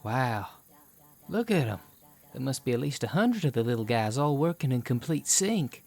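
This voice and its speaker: A man's voice: medium pitch, big pitch swings, moderate pace, a little mellow. A man playing an eager, kindly young student with octopus tentacles, folksy and hesitant in an audio roleplay. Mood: wondering. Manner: folksy, hesitant, corny joking. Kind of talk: character acting in an ASMR audio roleplay.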